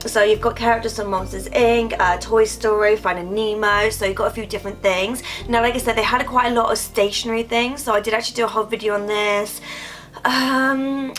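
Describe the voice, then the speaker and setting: A woman's voice: a little high, a bit bright. Chatty woman with a stuffy nose. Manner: chatty, rambling with fillers. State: nasal from a cold. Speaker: vlogger. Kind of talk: casual haul chat.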